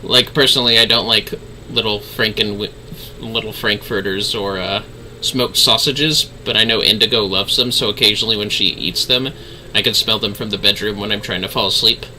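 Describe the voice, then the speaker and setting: A man's voice: on the low side, fairly even intonation, moderate pace, sharp tone, a little hiss or hum, rough recording. A man hosting a gaming livestream with casual, easygoing, chatty talk to his viewers. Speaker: livestreaming gamer host.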